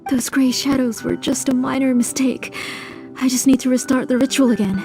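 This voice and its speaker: High-voiced, desperate grieving mother. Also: fairly even intonation, normal speed, a little mellow, soft background music. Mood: frantic, pleading. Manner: pleading, emotionally fraught delivery. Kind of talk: dramatic video game voice acting.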